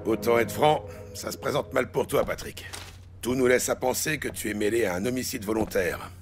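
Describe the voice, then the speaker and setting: An actor playing a tough, blunt New York police detective in a French audio drama, pressing and insistent. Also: male voice, mid-range voice, normal speed, faint music behind, close to an everyday voice. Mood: firm. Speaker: police detective interrogating suspects. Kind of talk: dramatic audio series acting.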